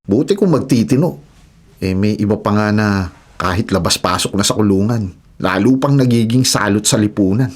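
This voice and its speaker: A man's voice: lowish voice, normal speed, natural voice. A man playing an opinionated husband in a radio drama, earnest and hard on criminals. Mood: indignant.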